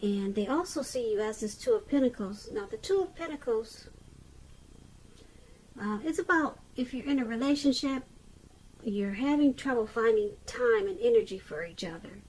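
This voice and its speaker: A woman giving an unscripted tarot reading to the viewer, halting as she goes, pausing mid-sentence and repeating phrases. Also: fairly high pitch, lively intonation, darkish tone, poor audio. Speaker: tarot reader.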